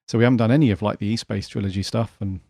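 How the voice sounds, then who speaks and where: A man's voice: on the low side, steady tone, very fast, vocal fry, mellow, breathy edge, dry recording. A British man chatting casually and in a laid-back way as a podcast co-host. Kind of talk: casual chat.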